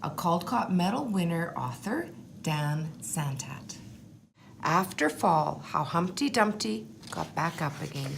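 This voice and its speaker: Slow-paced woman reading a picture book. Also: fairly low pitch, vocal fry, a little hiss or hum. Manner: expressive, pausing to comment on pictures. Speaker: school superintendent reading aloud. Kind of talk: picture-book read-aloud with commentary.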